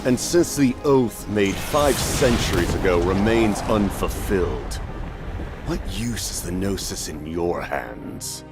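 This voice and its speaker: An actor playing a cold, self-assured, menacing villain in a video game, with a pompous, theatrical delivery. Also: male voice, low voice, lively intonation, slow. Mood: cold menace. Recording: music clearly behind the voice.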